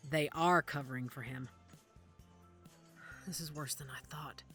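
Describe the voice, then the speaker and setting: A woman acting in an audio drama as a guarded, worried werewolf investigator who speaks gravely and deliberately. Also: low-pitched, expressive, fairly bright tone. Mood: grim, alarmed. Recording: music under the dialogue.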